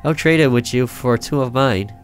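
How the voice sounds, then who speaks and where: A man's voice: medium pitch, moderate pace, soft background music, voice pushed up, close to an everyday voice. A man voicing a nervous young boy who coaxes his sister in a visual novel game. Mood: confessing. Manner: coaxing, hesitant. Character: nervous, coaxing older brother, a young boy.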